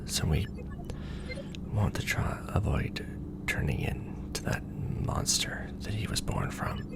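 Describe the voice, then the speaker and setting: Weary young guy bonded to an alien male actor: very deep, animated, half-whispered with fry, casual and wry. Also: slow pace, exaggerated voice. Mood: troubled. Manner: casual, wry, hesitant in explanations. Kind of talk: ASMR roleplay drama.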